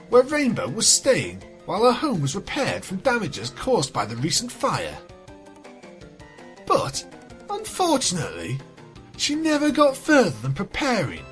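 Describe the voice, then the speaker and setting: Theatrical woman storyteller, very animated. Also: mid-range voice. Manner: theatrical, wry. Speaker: fan fiction reader, narrator. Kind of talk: dramatic scripted story narration. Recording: music behind the voice.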